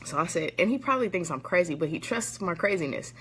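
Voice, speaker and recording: A woman's voice: lowish voice, expressive, quick pace, a bit bright, husky. A woman from the Bay Area, a motivational speaker, casually telling a story to viewers on a live video, chatty and laughing often. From San Francisco Bay Area.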